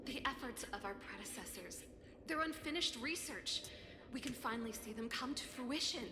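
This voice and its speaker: A woman's voice: fairly high pitch, lively intonation, normal speed, heavy background noise, natural voice. A woman playing a passionate, headstrong female researcher who speaks earnestly and challengingly in a video game drama. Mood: urging, hopeful. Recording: echoing hall reverb, loud music.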